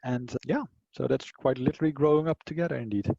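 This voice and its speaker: Mellow-voiced Dutch man, muffled audio. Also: mid-range voice, fast talker, creaky, no room sound. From Netherlands. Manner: thoughtful, with frequent fillers and restarts. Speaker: interview guest, martial arts teacher. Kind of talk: casual conversation.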